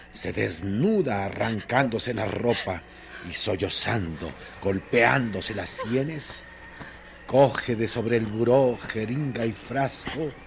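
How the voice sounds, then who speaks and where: A man narrating a dark radio drama in a solemn, measured, literary way with long pauses. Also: lowish voice, gravelly, slight background noise, full character voice.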